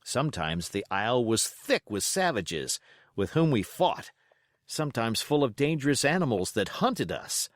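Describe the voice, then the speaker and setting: Male audiobook narrator, scripted storytelling, lively intonation, measured, expressive, dry recording. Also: medium pitch, no room sound.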